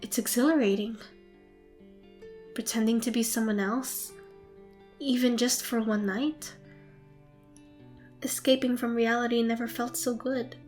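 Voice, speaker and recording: A young woman playing a shy, nervous, excitable theatre student in an ASMR roleplay, hesitant, flustered and apologetic. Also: on the high side, measured pace, long pauses, soft background music, close to an everyday voice. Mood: wistful, earnest. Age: young adult. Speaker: amateur voice actor.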